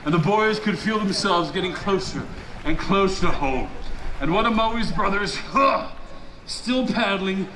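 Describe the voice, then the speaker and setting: A man from Hawaii performing live as a storyteller, telling a legend theatrically with dramatic pauses and drawn-out emphatic words. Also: sits high, expressive, bright, forceful tone. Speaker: resort storyteller. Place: outdoor poolside deck at a resort. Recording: roomy, noisy outdoor live recording.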